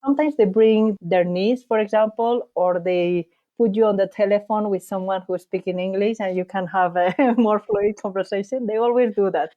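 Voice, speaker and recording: A woman from Spain, a guest answering interview questions in thoughtful, slightly halting English. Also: mid-range voice, phone-quality sound. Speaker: podcast guest, bike traveller.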